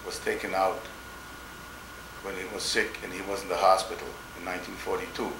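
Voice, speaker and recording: An older man from Poland giving oral history testimony in a slow, halting way, often repeating words. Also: deep voice, little pitch movement, creaky. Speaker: interviewee, Holocaust survivor. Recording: echoey room with background noise.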